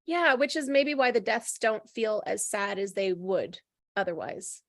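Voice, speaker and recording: A woman's voice: mid-range voice, lively intonation, unhurried, dry recording, clean studio sound. An agreeable woman chiming in readily during a casual group discussion.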